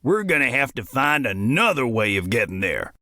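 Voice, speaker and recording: A man's voice: medium pitch, big pitch swings, moderate pace, pushed and bright, no echo, studio quality. A man voicing a bearded Wild West sheriff, exasperated and emphatic.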